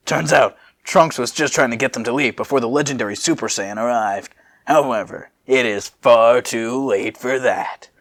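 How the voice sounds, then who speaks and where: Male amateur story narrator, creaky mid-pitched voice, dramatic but jokey, drops into casual asides. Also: moderate pace, a bit bright. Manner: jokey, laughs at the text. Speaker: host and narrator.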